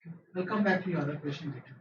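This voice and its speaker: A man's voice: on the high side, racing. Male academic speaker, pointed replies, lo-fi noisy room recording. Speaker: speaker presenting a paper. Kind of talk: academic seminar discussion. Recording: roomy, distant, lo-fi hall recording with noise.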